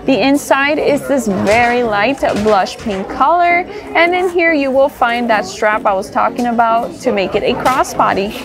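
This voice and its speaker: A chatty woman with a high, light voice walks through a store, gushing over what she finds and correcting herself as she goes. Music plays clearly in the background. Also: normal speed, hardly any pauses. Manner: chatty, enthusiastic, thinks aloud while browsing. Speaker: shopping vlogger. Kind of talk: casual merchandise tour. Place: discount store.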